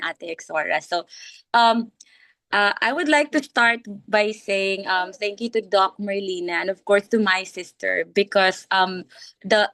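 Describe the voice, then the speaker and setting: A woman with a Filipino accent, hoarse from a cold, giving a polite, prepared personal talk with hesitations and self-corrections. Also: mid-range voice, sharp tone, no room sound. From Philippines. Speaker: teacher and interview guest. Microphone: video call.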